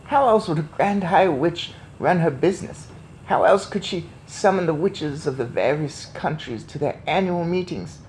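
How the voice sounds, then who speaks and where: A man voicing a loving, proud and crafty old Norwegian grandmother in a storybook, with measured, emphatic delivery. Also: fairly high pitch, lively intonation, slight background noise, natural voice. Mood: explaining.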